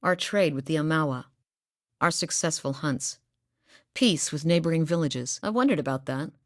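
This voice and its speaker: A woman's voice: deep voice, normal speed, no room sound, studio quality, natural voice. A woman voicing a determined young woman hunter, earnest and sincere in a video game. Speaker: voice actor. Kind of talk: video game character dialogue.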